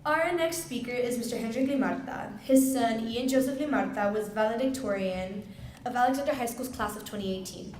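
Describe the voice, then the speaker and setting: A woman with a fairly high voice makes formal introductions as an event host. She sounds set back from the mic in an echoey room. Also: fairly quick, darkish tone. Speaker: master of ceremonies introducing speakers. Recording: echoey room, distant mic, crowd, faint noise.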